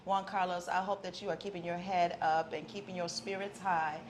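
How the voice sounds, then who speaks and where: A woman's voice: lowish voice, flat delivery, brisk. Woman, playful co-host, echoey room, faint hiss. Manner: playful, laughing, encouraging. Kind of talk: casual studio banter. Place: broadcast studio.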